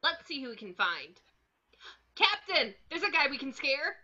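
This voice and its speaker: An actor voicing an excitable, naive young male cartoon sea sponge, eager and childlike, in a script reading. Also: high-pitched, moderate pace, dull audio, full character voice.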